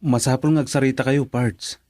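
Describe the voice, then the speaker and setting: A man's voice: mid-range voice, fairly even intonation, brisk, darkish tone, no room sound, close to an everyday voice. Man as a rule-bound pal: firm, cautious, dry. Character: cautious friend who refuses to break rules. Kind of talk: Ilocano radio drama acting.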